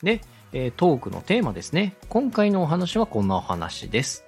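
A man's voice: on the high side, huge pitch swings, fairly quick, creaky, a little mellow, breathy edge, soft background music. A man hosting an instructional podcast, explaining things politely and step by step to a co-host. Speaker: host, radio director teaching podcast production.

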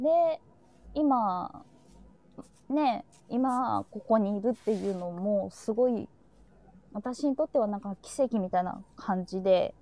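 A woman's voice: mid-range voice, mellow. A woman hosting a live streamed talk, chatting casually and reflectively and sharing her feelings openly.

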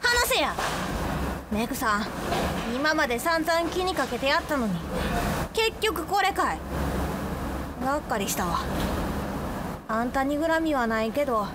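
Loud noisy background, woman playing hot-headed kansai high school girl, high voice, super swingy delivery, radio drama. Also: sing-song, a little slow, pauses often. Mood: bitter, hurt. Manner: blunt, confrontational Kansai dialect. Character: hot-tempered teenage schoolgirl, blunt and hurt. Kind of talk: anime-style radio drama acting.

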